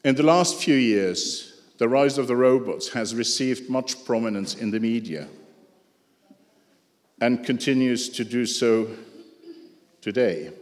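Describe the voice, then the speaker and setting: A man delivers a prepared lecture in English, which is not his first language. He speaks in a measured way, dropping dry, ironic asides, at a middling pitch. The voice rings with the long reverb of a large hall. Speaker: speaker giving a talk.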